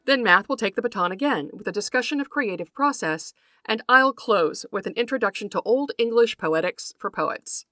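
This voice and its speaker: A woman hosting a podcast, reading a scripted narration in a measured, carefully enunciated way. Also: fairly high pitch, lively intonation, sharp tone, no echo, clean studio sound, soft background music. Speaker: podcast host. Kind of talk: scripted narration, introducing a guest.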